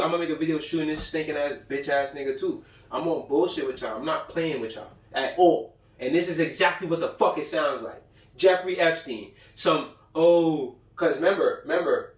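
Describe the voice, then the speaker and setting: An American man with a fairly high voice lectures on a livestream from an echoey room, a bit away from the mic. He talks in heavy slang, swears constantly and drives points home by repeating them emphatically. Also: recorded from a distance. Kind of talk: informal, profane livestream lecture.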